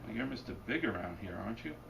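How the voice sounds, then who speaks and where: A man reading his fiction aloud at a bookstore event, deadpan and wry, and losing his voice. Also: lowish voice, rapid-fire, gravelly. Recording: distant mic, noisy room. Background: audience laughter.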